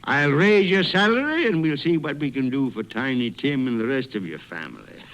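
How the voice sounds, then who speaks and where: An actor playing an elderly reformed miser giddy with joy, in exclamatory, theatrical radio drama style. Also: male voice, mid-range voice, big pitch swings, moderate pace, a bit bright. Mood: merry, generous. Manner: exclamatory, chuckling, swooping delivery.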